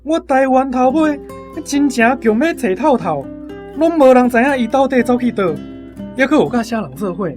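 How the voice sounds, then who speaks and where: A man's voice: very high voice, animated, moderate pace, music in the background. A man with a Taiwanese accent playing a guileless, talkative young army conscript in a radio drama. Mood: matter-of-fact.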